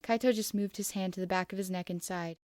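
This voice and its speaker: A woman reading fan fiction aloud as expressive first-person narration. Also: mid-range voice, fast talker, no room sound, clean studio sound.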